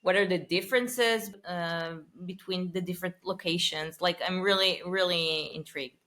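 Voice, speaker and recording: A woman's voice: fairly low pitch, fairly even intonation, moderate pace, bright, forceful tone. A woman hosting a podcast interview, enthusiastic and searching for her words as she asks questions. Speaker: podcast host.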